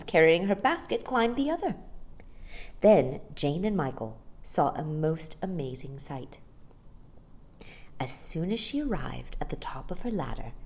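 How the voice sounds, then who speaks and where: A woman narrating a children's story in an expressive storytime style. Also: fairly low pitch. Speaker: storytime reader.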